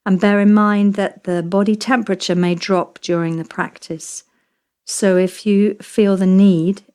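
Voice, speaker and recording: Slow-paced woman guiding deep relaxation. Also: a little mellow. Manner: soothing, measured, with long pauses between short phrases. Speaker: yoga nidra teacher. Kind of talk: guided relaxation meditation.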